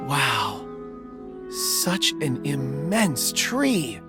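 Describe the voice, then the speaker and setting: Game prospector: gentle, reflective, polite man with very varied intonation. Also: medium pitch, big pitch swings, drawn-out words. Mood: curious, wondering. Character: earnest, thoughtful, gentle male prospector. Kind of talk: video game voice acting. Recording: music behind the voice.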